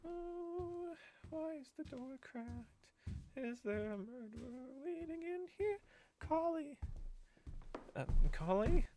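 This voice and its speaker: A man's voice: very high-pitched, expressive, slow, noisy background, full character voice. An actor playing a wry, exasperated young man in an audio drama, with a theatrical, sarcastic delivery. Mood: nervous, singsong.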